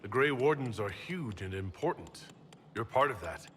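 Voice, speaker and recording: An actor playing a cool-headed, blunt leader as the protagonist of a video game, speaking tersely. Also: male voice, lowish voice, expressive, normal speed, fairly bright tone, slight background noise.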